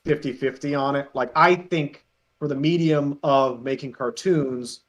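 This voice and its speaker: A man talking casually as an interview guest, telling anecdotes in an animated way and acting out remarks. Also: mid-range voice, unhurried, lo-fi sound.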